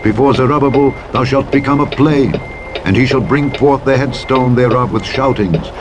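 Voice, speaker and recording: A man reads the King James Bible aloud as a narrator, in a solemn, deliberate, declamatory style with measured phrasing. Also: mid-range voice, background noise. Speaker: scripture narrator. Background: truck driving sounds.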